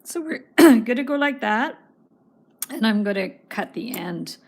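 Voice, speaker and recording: A woman's voice: a little high, expressive, a little slow. A woman hosting a casual craft livestream, chatting as she reads viewer comments, her voice starting to go. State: losing her voice.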